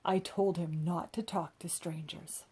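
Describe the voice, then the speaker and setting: A woman's voice: lowish voice, fairly even intonation, unhurried, heavy background noise. A woman reading a children's story aloud with expression. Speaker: storybook reader.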